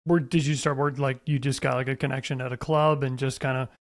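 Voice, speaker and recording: A man's voice: medium pitch, little pitch movement, fairly quick. A man hosting a podcast, chatting casually as he interviews a guest about a sport. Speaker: podcast host.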